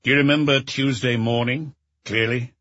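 A man voicing a calm, encouraging police inspector who patiently puts short questions to a child in an audiobook. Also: medium pitch, normal speed, dry recording, dull audio, natural voice. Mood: calm, probing.

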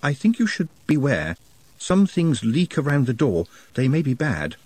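A man voicing a meek, polite creature with a quiet, weary voice and formal, precise wording, in a storybook reading. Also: medium pitch, big pitch swings, moderate pace, no echo, voice pushed up. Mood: anxious, polite. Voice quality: quiet, faint voice.

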